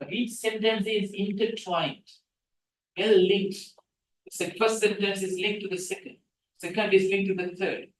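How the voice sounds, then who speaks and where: Echoey lecturing man, native Tamil speaker. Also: high-pitched. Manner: animated, asks the room questions. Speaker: guest lecturer. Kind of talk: lecture on scientific writing. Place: seminar room, hybrid session. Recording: echoey room from a distance.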